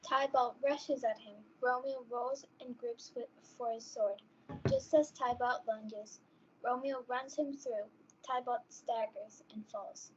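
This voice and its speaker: A woman's voice: on the high side, steady tone, slow pace. A woman reading scripted narration for a student readers theatre. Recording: muffled, faintly noisy home recording.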